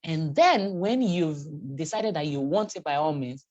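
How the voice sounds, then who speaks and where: A woman's voice: sits low, expressive, measured pace, darkish tone, lo-fi sound. A middle-aged woman whose first language is Yoruba, coaching someone in a one-on-one chat, repeating her points and telling everyday stories to encourage.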